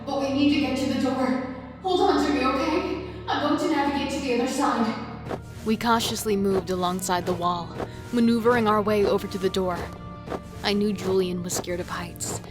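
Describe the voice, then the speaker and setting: Over prominent music comes an actress playing a steely female thief and killer in a horror audio drama. She speaks at a middling pitch with tense, dramatic delivery, moving between storytelling and in-scene dialogue. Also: close to an everyday voice. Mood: urgent. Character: Eve, steely foul-mouthed female killer and thief narrating her ordeal. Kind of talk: first-person horror audio drama narration and acting.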